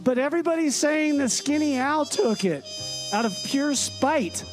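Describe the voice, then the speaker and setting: Inquisitive sidekick, acted by a man, extremely high voice, radio comedy, music clearly behind. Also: lively intonation, unhurried. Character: inquisitive small-town sidekick, Jimmy.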